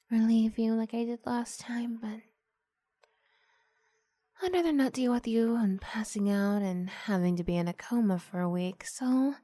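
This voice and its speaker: Woman playing a breathy, coaxing young vampire girl. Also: on the high side, no echo. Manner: hushed, halting, often slipping into breathy whisper. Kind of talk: ASMR roleplay, improvised.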